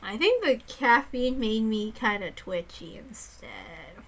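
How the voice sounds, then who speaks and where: A woman's voice: on the high side, very animated, slow pace. A woman reading a visual novel aloud, mixing dramatic narration with casual asides.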